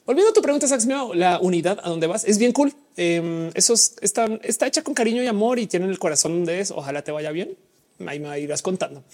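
A woman's voice: lowish voice, expressive, fast, a little mellow. A woman with a mixed Mexican and Colombian Spanish accent hosting a livestream, chatting casually as she reads and answers audience comments. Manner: casual, chatty, explains at length with slang. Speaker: livestream host. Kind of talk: live chat reading audience comments.

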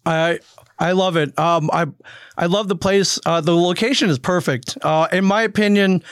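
A man's voice: sits high, normal speed, a bit bright, clean tone, dry recording, studio quality. A man hosting a casual interview, speaking in an enthusiastic, complimentary way. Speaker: interviewer, show host.

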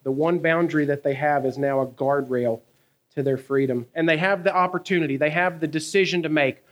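A man, preaching a sermon in a conversational, animated way, asking his listeners questions and telling stories from his own life. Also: a little high, a little fast. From American South. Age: 30s. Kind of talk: sermon with personal storytelling.

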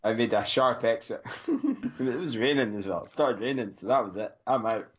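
A man's voice: medium pitch, very animated, normal speed, lo-fi sound. A Scottish man co-hosting a running podcast, joking and self-mocking in casual chat. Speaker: podcast co-host.

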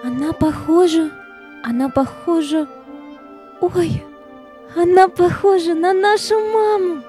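A woman's voice: very high-pitched, slow, dark tone, faint music behind. A woman playing a tender, wondering little girl in an audio play. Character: tender little girl marvelling at her mother.

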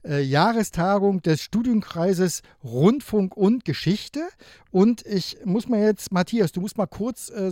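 A man's voice: high-pitched, darkish tone, no echo. A man hosting a live radio show, chatting spontaneously with studio visitors. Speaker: radio host.

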